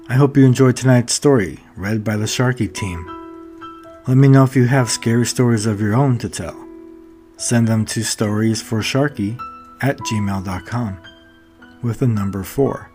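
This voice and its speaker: A man's voice: mid-range voice, a little slow, darkish tone. A man hosting a scary story show, giving a scripted presentation. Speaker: host. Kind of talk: scripted show intro and outro. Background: music.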